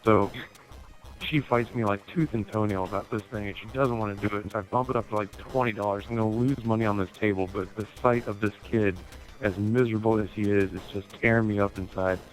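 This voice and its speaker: A man with a slightly low voice spins a casual, funny story over an online game. He swears freely and acts out the dialogue as he goes, in the manner of someone talking to friends. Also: fairly quick, soft background music, low-quality recording. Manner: sweary, animated retelling with acted-out dialogue. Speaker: storyteller in an online gaming video. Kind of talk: casual comic anecdote told over gameplay.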